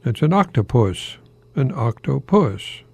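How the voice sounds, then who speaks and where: An older American man hosting a folksy solo radio talk in a halting, rambling, easily amused manner. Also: mid-range voice, very animated, gravelly, a little mellow, husky, dry recording. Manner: chuckles often at his own remarks, halting and rambling. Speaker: radio host, longtime English professor.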